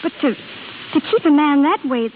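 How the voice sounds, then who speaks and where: An actress playing an anxious, earnest young wife in vintage radio drama, plaintive and hesitant. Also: female voice, high-pitched, lively intonation, normal speed. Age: young adult. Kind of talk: 1930s-40s radio drama acting. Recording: tinny, hissy old radio recording.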